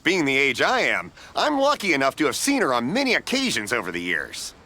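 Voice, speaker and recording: An actor playing a friendly, generous middle-aged stallkeeper in a video game, with a hearty, folksy manner. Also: male voice, mid-range voice, huge pitch swings, a little fast, sharp tone, heavily acted. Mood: jovial, admiring. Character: friendly, generous older festival stallkeeper, man.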